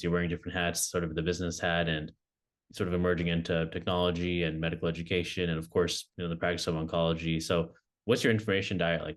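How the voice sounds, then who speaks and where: Young male interviewer, low voice, rapid and hedging delivery. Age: young adult. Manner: quick, hedging, many fillers and restarts. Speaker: interviewer, oncology fellow. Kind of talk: recorded video interview.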